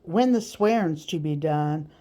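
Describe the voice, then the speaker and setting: Audiobook slave catcher, female reader playing a crafty, bragging man with rustic speech, soft-edged and leisurely. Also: low voice, a little slow. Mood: boastful. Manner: rustic nonstandard grammar, gleefully bragging. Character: slick, boastful male slave catcher, sharp and scheming. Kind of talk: audiobook character voice.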